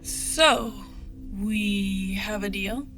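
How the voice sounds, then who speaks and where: A woman playing a guarded, bold young treasure seeker in an audio drama, measured and terse. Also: mid-range voice, a bit bright, soft background music. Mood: guarded, challenging.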